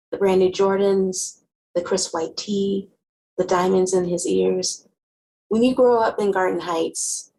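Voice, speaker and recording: A woman playing a wry, guarded, sharp-tongued teenage girl who narrates her own story conversationally in a dramatic novel reading. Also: medium pitch, vocal fry, a little hiss or hum, low-quality recording. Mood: guarded, frustrated. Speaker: actor.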